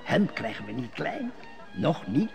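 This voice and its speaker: A crafty court elder plotting in hushed, riddling turns. His voice is deeply gravelly and swings up and down as he insinuates. It is an old radio play with music faintly underneath. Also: big pitch swings, unhurried. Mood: scheming. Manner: sly, speaks in riddles. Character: scheming court elder, male, cunning. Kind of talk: 1950s Dutch radio drama acting.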